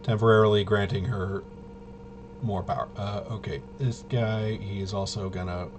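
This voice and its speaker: A man thinking aloud through solo tabletop game commentary, casual and halting, often muttering to himself as he works things out. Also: on the low side, fairly even intonation, slight background noise. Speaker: tabletop RPG player and presenter.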